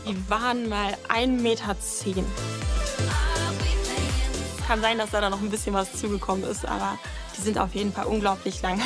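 A woman's voice: medium pitch, level tone, normal speed, pauses often. Young woman contestant with music behind. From Germany. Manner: earnest, openly personal. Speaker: model contestant. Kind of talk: casting interview and confessional.

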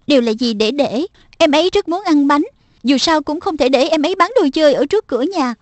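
A woman voicing a bossy but caring schoolgirl big sister in a cartoon dub, firm and deliberate. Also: high-pitched, animated, no echo.

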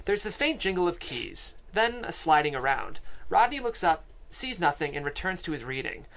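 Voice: mid-range voice, sing-song, moderate pace, vocal fry, fairly bright tone, poor audio. A narrator with a mid-range voice reads out a screenplay at a table read. The delivery is scripted narration, with scene headings and action lines, spoken into a studio microphone. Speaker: stage-direction reader at a table read. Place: podcast studio with several people.

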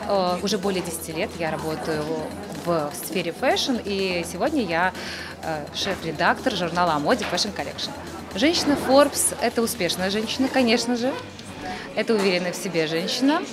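A woman who works as a voice coach and magazine editor, giving a poised interview answer at an event. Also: medium pitch. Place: press wall at an indoor event. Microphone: handheld interviewer mic.

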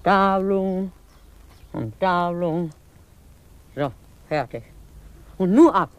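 A woman's voice: lowish voice, takes it slowly, smooth. Blunt old cook woman in broken speech. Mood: stubborn, emphatic. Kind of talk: 1950s German radio play acting.

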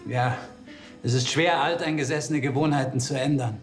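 A man's voice: mid-range voice, normal speed, roomy sound, soft background music, natural voice. An actor playing an earnest, instructive male nutrition counsellor in a TV series. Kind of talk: naturalistic TV acting.

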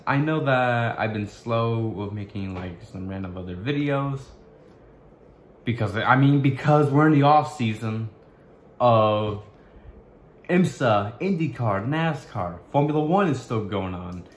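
A young man vlogging to his webcam in a small bedroom, talking in a halting way with many restarts as he searches for words. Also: mid-range voice, animated, roomy sound, slight background noise. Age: young adult. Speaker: YouTuber. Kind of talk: casual vlog to camera.

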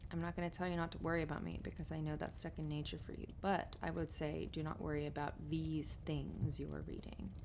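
A woman playing an affectionate, playful writer girlfriend in an intimate roleplay, speaking softly and conversationally with giggles and breathy murmurs. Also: sits low. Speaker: audio roleplay performer. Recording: noisy fireplace ambience behind voice. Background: crackling fireplace.

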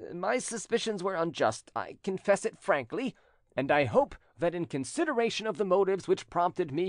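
A man's voice: high voice, dry recording, studio quality, pitched above the performer's own voice. A man voicing a jealous, scheming spurned suitor in a storybook reading, with insistent, theatrical delivery. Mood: contrite, smooth.

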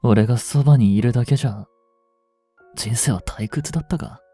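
A man playing a grieving young policeman in an audio drama, speaking in a slow, bitter, intimate murmur. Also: medium pitch, warm tone, slightly rough, lightly acted. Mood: anguished tenderness. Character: grieving young policeman mourning his criminal girlfriend, tender. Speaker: voice actor. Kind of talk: Japanese audio drama monologue. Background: music.

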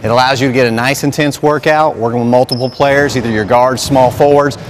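A man's voice: medium pitch, steady tone, a little fast, a little hiss or hum. A man in his thirties, a plain-spoken sports trainer, giving instructions for a drill in short coaching cues. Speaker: basketball skills trainer and coach. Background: music.

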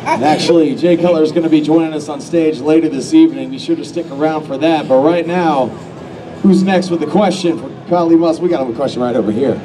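A man hosting a stage Q&A, briskly picking the next questioner and making announcements. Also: fairly high pitch. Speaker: stage host. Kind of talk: event hosting. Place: large expo hall. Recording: distant voice over hall noise.

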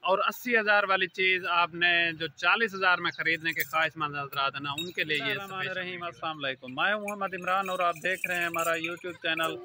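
A man's voice: high voice, steady tone, fast talker, few pauses, noisy background. A man in his thirties from Pakistan, speaking, earnestly warns viewers straight into a phone held at arm's length at his outdoor goat farm and keeps calling them his brother. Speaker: goat farm owner and channel host. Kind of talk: selfie-video warning talk to viewers. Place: outdoor goat farm pen, among goats and trees. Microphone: phone front camera at arm's length.

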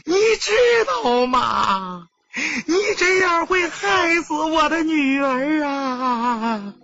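Sobbing middle-aged mother, played by a man: slow, high, pleading, slightly muffled audiobook sound. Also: animated, sharp tone, heavily acted. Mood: sobbing, frantic. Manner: wailing, drawn-out pleading. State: crying.

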